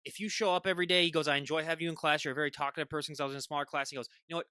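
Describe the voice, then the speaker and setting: Sarcastic young Midwestern host, rapid and bright-toned. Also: male voice, fairly high pitch, steady tone, clean tone, no echo, studio quality. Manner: sarcastic, joking. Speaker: podcast host. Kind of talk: casual chat.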